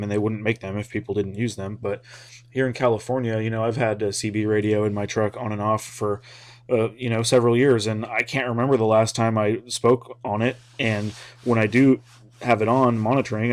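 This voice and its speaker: An American man with a fairly low voice talks casually through his points as a hobby video host. His delivery is flat in pitch, informal and a little hesitant, wandering along as he thinks aloud. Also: brisk. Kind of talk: casual, rambling explainer talk.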